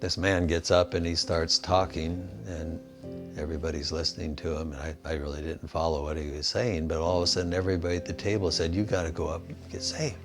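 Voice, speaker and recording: A man's voice: deep voice, little pitch movement, a little fast. A man in his sixties telling his story as a missionary in a documentary interview. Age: 60s. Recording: music behind the voice.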